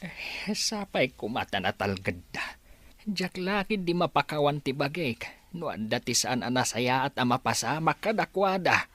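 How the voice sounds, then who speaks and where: A man plays a regretful old father in an audio drama. His voice is rough and husky, swinging widely in pitch as he frets. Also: big pitch swings, moderate pace, fairly bright tone, no room sound. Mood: remorseful. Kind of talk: Ilocano audio drama acting.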